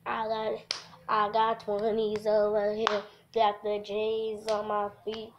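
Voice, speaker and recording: A woman livestreaming a visual novel, reading the text aloud haltingly and adding goofy, casual asides. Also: medium pitch, monotone, noisy background.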